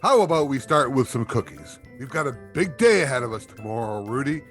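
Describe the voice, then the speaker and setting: A man's voice: medium pitch, very animated, a little fast, a bit bright, faint music behind. A man playing a jolly, stubborn old Santa Claus in a comedy audio drama, with a grand, theatrical manner. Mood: jolly resolve.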